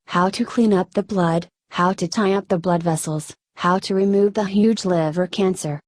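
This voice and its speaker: A woman's voice: medium pitch, fairly even intonation, moderate pace, no room sound. A woman reading a scripted first-person narration of a Buddhist story.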